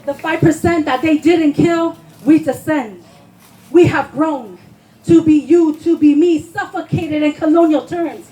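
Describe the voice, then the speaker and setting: A woman's voice: high voice, measured pace, dark tone, rough recording. A woman poet giving an impassioned, emphatic spoken word performance at a live reading, declaiming her lines. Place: live poetry reading before a responsive audience.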